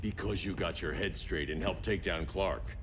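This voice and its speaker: An actor playing a stern, guarded vigilante leader who gives orders, in dramatic video game style. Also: male voice, low-pitched, normal speed, noisy background, natural voice.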